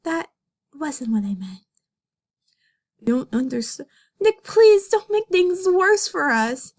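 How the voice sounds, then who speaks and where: A woman doing a high-pitched, anxious cartoon bunny girl. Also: sing-song, takes it slowly, a little mellow, heavily acted. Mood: distressed, pleading. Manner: pleading, melodramatic. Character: earnest, anxious young female cartoon rabbit.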